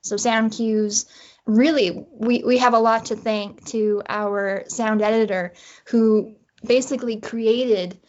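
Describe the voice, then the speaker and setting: A woman's voice: a little high, fairly even intonation, slow pace. Woman guest on slightly muffled audio. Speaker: guest writer and filmmaker. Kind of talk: casual interview chat.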